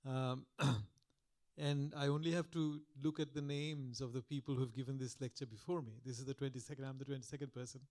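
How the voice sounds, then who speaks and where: Soft-spoken older man lecturing. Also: medium pitch, fairly even intonation, a little fast. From Calcutta, India. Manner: soft-spoken, reflective, with frequent restarts. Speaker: guest lecturer. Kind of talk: public lecture. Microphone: podium microphone.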